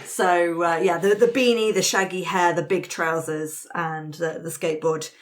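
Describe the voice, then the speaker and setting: A woman's voice: on the low side, steady tone, moderate pace. A woman facilitating a tabletop roleplaying game, explaining the rules to a group of friends in casual chat.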